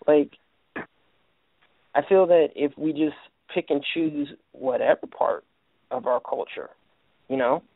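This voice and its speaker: Male call-in radio host, gravelly, halting, with a dry, phone-thin sound. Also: a little high, dry recording, narrow, tinny audio. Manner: halting, many restarts and fillers. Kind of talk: casual call-in radio talk.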